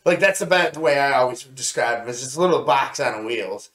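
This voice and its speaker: A man co-hosting a review show in casual chat, with a halting, rambling way of telling things. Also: mid-range voice, expressive, fairly bright tone. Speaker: co-host of an anime review show. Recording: roomy, echoey sound.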